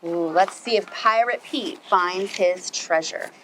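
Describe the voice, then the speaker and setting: A woman reading a children's story aloud as a preschool storyteller, animated and putting questions to her young listeners. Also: lowish voice, slow pace, a little hiss or hum, rough recording.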